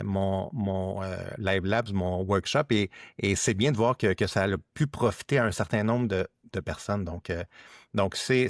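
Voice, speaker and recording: Low-voiced Quebec man, halting podcast talk. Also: no room sound, studio quality. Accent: Quebec French. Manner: halting, repeats words. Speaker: podcast host. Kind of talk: casual tech podcast conversation.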